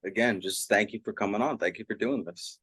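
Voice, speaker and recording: A man's voice: fairly low pitch, brisk, fairly bright tone, slightly rough, no room sound. A man hosting a casual interview, speaking in an informal, blunt way with some swearing.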